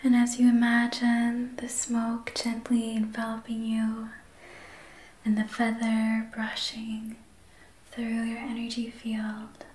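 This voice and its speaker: A woman speaking in a breathy whisper, gently and soothingly guiding a healing session in ASMR style. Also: fairly high pitch, completely flat delivery, poor audio. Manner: slow, gentle, soothing guidance. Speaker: ASMR host, Reiki practitioner.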